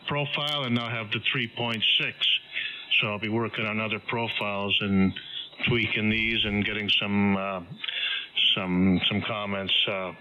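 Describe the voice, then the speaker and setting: Male ham operator, sharp forceful tone, stop-and-start casual talk, dulled radio audio with faint noise. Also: fairly low pitch. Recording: muffled, faintly noisy radio transmission.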